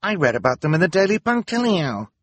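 Guy doing a shocked gullible bystander in a story, exclaiming, high swoopy voice. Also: sing-song, a little slow, breathy edge, dry recording, full character voice. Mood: astonished. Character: astonished, gullible hospital onlookers: nurse, doctors, volunteer.

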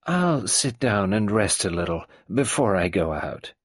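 A man's voice: lowish voice, sing-song, a little slow, no echo. A man voicing a malicious, domineering old mother who taunts her family with a wheezy, venomous edge. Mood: taunting.

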